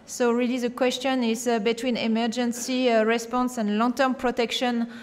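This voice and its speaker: A woman's voice: a little high, flat delivery, moderate pace. A woman opening a conference round table and introducing its moderator, hesitant and full of fillers. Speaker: conference host introducing the panel. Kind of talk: formal opening remarks. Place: large conference hall. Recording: echoey hall with long reverb.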